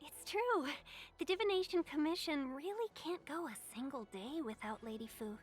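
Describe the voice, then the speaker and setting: Animated, high-pitched easygoing young aide. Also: female voice, normal speed, faint music behind. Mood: deferential. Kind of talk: video game voice acting.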